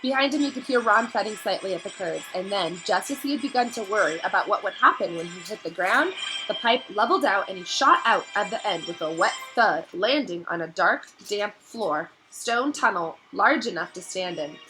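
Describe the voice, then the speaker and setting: A woman narrating a novel aloud in an expressive, dramatic storytelling style that builds suspense. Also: medium pitch, moderate pace, over music, rough recording.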